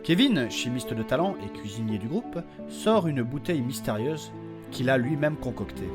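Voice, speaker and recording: A man with a husky voice reading a scripted introduction as narrator and host of a fiction podcast. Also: medium pitch, expressive, moderate pace, music in the background.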